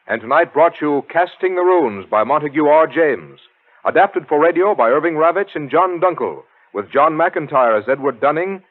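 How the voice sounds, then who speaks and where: An American man reading scripted credits as a 1940s network radio announcer. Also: fairly high pitch. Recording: muffled 1940s broadcast, loud music behind.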